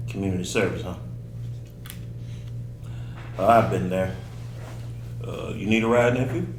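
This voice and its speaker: A chatty, avuncular man in his forties played by a man in a drama read-through. His voice is on the low side, with room echo and clear noise behind it. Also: a little slow, long pauses. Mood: friendly. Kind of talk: scripted dialogue read aloud.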